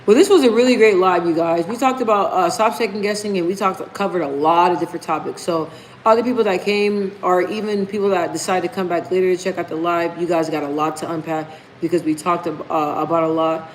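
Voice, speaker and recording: A woman's voice: lowish voice, steady tone, fairly quick, a little hiss or hum, rough recording. A woman hosting a casual live stream, talking emphatically, repeating key phrases and reading viewer comments aloud. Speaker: livestream host.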